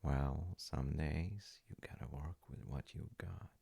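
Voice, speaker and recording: A man playing a gentle, playful dad, telling a bedtime folk tale in a husky, gravelly voice with unhurried pauses and soft asides. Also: rumbling low. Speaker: audio roleplay voice actor playing a dad. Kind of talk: intimate comforting roleplay, improvised-sounding bedtime storytelling.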